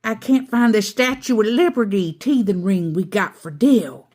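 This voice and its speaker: A woman's voice: mid-range voice, normal speed, darkish tone, voice pushed up, natural voice. A woman voicing an affectionate, easily flustered old grandfather from a children's cartoon story, with emphatic exclamations.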